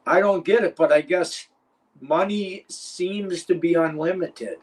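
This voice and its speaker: A man's voice: high-pitched, measured pace. An older man and former wrestler, telling rambling, profane stories as an interview guest. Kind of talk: casual interview chat.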